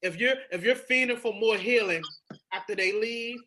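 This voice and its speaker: A woman's voice: a little high, normal speed, fairly bright tone, slight background noise. A woman co-hosting a podcast in candid casual chat, with an informal, emphatic, colloquial way of talking. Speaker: podcast co-host.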